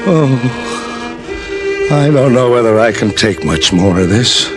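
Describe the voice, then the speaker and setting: Old miser in a vintage radio play, voice swings all over, stammery and slangy, music loud behind. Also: male voice, medium pitch, takes it slowly. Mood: shaken, weary. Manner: stammering, excitable, streetwise slang. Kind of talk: old-time radio drama acting.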